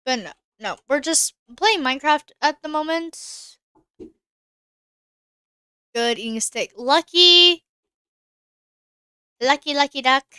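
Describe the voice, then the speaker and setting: Woman game streamer, a little high, bright and clear, casual running commentary with chat asides. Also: takes it slowly, pauses often, dry recording, clean studio sound. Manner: chatty, thinks aloud, answers chat.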